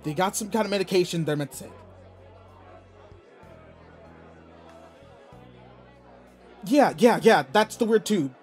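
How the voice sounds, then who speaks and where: Man acting high-voiced, gruffly caring young student bully. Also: quick pace, a little mellow, soft background music, some chatter behind, natural voice. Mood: panicked, urgent. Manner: brusque, swearing, emotional. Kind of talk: acted audio roleplay drama.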